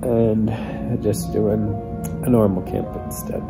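Young male, under the weather, gravelly fry, casual vlog talk, music underneath. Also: on the low side, steady tone, slow, low-quality recording. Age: young adult. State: sick, feeling rotten. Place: lying on a couch indoors. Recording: music behind, casual handheld recording.

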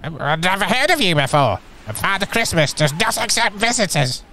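A stiff, officious male toy soldier on guard, as a man puts him on, in a radio pantomime. The voice is high, bright and sharp, with plenty of pitch movement and a faint hiss behind it. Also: very animated, normal speed, heavily acted. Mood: stern.

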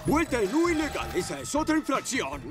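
A man's voice: very high-pitched, wildly swooping pitch, a little fast, exaggerated voice. A man voicing a stern, officious police motorcycle in a children's cartoon dub. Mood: indignant. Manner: authoritative, pompous. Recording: music behind the voice.

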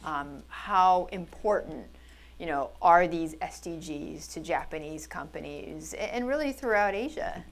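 Lowish-voiced woman moderating a panel. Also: lively intonation, unhurried. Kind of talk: panel moderating, conversational questions. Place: indoor conference event on a stage.

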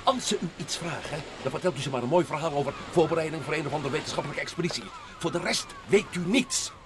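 An actor playing a brisk, commanding adventurer who lays out plans in an old radio play. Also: male voice, a little high, close to an everyday voice. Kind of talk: vintage Dutch radio play acting. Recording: old radio recording with noise.

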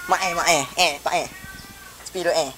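A man's voice: a little high, steady tone, normal speed, rough recording. A young man with an east coast Malay accent, bantering casually as he hosts prank phone calls. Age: young adult. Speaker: prank call video host.

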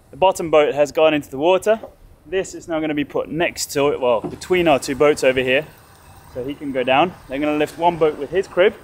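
Chatty man filming himself outdoors. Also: a little high. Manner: chatty, excitable, thinks aloud. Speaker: vlogger restoring a sailboat. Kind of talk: casual vlog narration. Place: outdoor boatyard. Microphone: action camera held at arm's length.

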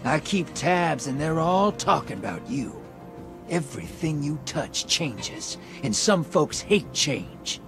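A grizzled rogue gunslinger from a sci-fi game, drawling and sardonic. His pitch swings wide and lively through every taunt. Game music plays clearly behind him. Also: slow pace, full character voice. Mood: wry. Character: sly grizzled male gunslinger smuggler, wry and cocky. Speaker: game character. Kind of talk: video game cutscene dialogue.

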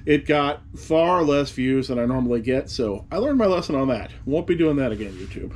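A man's voice: mid-range voice, expressive, a little fast, a little hiss or hum. Wry, chatty man talking at his desk. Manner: chatty and wry, rambling asides. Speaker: eBay reseller vlogger. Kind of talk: casual talk to camera. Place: cluttered room indoors, seated at a desk. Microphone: camera in front of him at the desk.

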